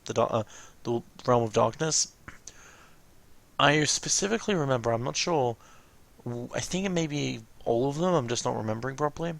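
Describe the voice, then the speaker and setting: A man with a creaky voice giving casual, rambling, hesitant reaction commentary as host of a watch-along. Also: medium pitch.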